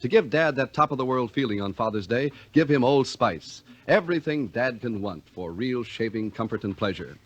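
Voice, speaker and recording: A man's voice: medium pitch, expressive, moderate pace, few pauses, muffled recording. A man with an American accent announcing on 1950s radio in a polished, scripted sales delivery. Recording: vintage radio recording.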